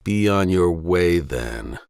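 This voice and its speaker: Defiant old grandfather, read by a male narrator, curt, stubborn, gravelly, dry close sound, audiobook western. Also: deep voice, animated, takes it slowly, a little mellow, no echo, full character voice.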